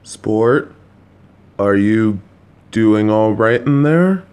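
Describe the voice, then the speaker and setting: Man playing a soft-spoken worried father, low voice, slow unhurried speech, patient, gentle. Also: animated, a little hiss or hum. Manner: gentle, patient, anxious, rambling. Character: kind, worried, clueless middle-aged father. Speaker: voice actor. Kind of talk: audio roleplay for a listener.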